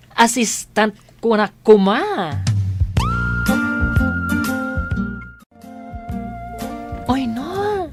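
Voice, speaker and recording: A woman with an Ilocano accent playing a nosy, excitable village woman with drawn-out exclamations in a comedy radio drama. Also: medium pitch. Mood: amused, impressed.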